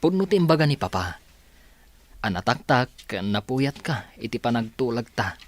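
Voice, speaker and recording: An actor playing an apologetic, hesitant son of a company boss in an Ilocano radio drama. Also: male voice, medium pitch, big pitch swings, normal speed, lightly acted. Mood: earnest, insistent. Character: Sir Daniel, apologetic, hesitant male company boss's son.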